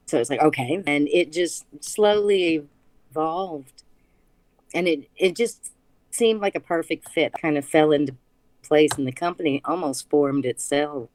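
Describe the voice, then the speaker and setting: Slow-talking low-voiced woman. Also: no room sound, poor audio. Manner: halting, restarts sentences, laughs often. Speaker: guest, small business owner. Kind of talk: casual interview conversation.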